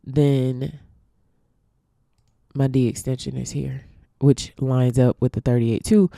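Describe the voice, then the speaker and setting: A woman's voice: very deep voice, vocal fry, dark tone, no echo, clean studio sound. A congested woman teaching forex trading, walking through a chart casually and thinking aloud with ums and restarts.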